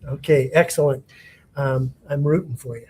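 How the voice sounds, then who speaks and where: A man working as a prosecutor, questioning a witness in a courtroom in a measured way with a few fillers. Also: medium pitch, steady tone, mellow, husky.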